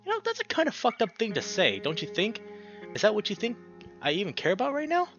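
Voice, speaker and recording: A man's voice: sits high, wildly swooping pitch, fast, a bit bright, lo-fi sound, faint music behind, pitched above the performer's own voice, heavily acted. A man voicing a caring but exasperated male bear in a visual novel, earnest and emphatic. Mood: upset, exasperated.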